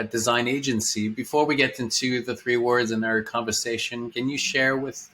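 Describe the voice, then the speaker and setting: A man's voice: mid-range voice, bright, forceful tone. A man hosting an informal podcast, welcoming his guest and asking questions, often restarting his sentences mid-question. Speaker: podcast host. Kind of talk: casual podcast interview.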